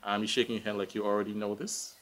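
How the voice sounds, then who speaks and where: Male interview guest, Guyanese accent, deeper register, unhurried thinking-aloud delivery, conversational. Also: little pitch movement, fairly bright tone, slight background noise. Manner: hesitant, reflective, thinking aloud. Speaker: interview guest, tech professional. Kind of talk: casual live conversation.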